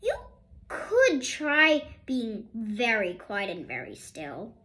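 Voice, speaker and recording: A kind young schoolboy from a storybook, read by a woman. He is gentle and encouraging, with a high voice and an easy, slow pace. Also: huge pitch swings, heavily acted.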